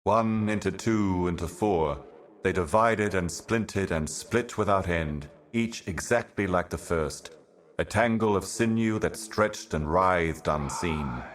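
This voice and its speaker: Extremely deep, solemn otherworldly envoy. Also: male voice. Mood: solemn, ominous. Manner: solemn, measured, portentous. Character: ancient cosmic envoy, solemn otherworldly prophet serving higher powers. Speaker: video game character. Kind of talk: cryptic scripted game monologue.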